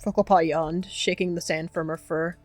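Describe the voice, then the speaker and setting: Low-pitched woman narrating a story. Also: steady tone, normal speed, a little mellow, no echo, faint music behind. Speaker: narrator and roleplayer. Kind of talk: scripted story narration of a roleplay.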